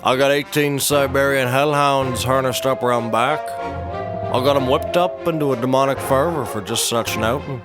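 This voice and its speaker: A man playing a gleeful, boastful dog-sled driver in a comedy audio drama, talking excitably. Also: mid-range voice, moderate pace, over music, close to an everyday voice.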